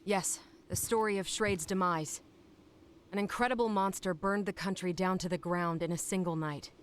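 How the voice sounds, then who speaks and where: A woman's voice: lowish voice, fairly even intonation, normal speed, slight background noise, lightly acted. A woman playing a serious, questioning monster hunter in a video game cutscene. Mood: serious, concerned.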